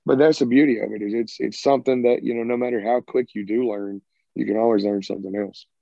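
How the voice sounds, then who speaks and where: A man's voice: mid-range voice, fairly even intonation, quick pace, creaky, warm tone, no echo. A man in his thirties with a Southern American accent, a rock singer casually answering interview questions with a rambling, laid-back manner over a video call. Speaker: rock band singer and guitarist. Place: home, by a sided wall. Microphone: video call, earbuds. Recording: muffled dry video call audio.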